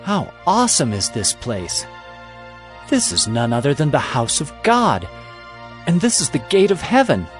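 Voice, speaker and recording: A man's voice: a little high, wildly swooping pitch, unhurried, soft background music. An actor playing an earnest young male traveler, speaking emphatically in dramatized scripture. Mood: awed.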